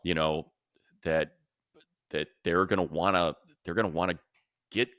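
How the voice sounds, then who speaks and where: A man's voice: low voice, steady tone, measured pace, a bit bright, no room sound, studio quality. An American man co-hosting a sports podcast, talking casually and emphatically in a conversational way. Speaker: sports podcast co-host and commentator.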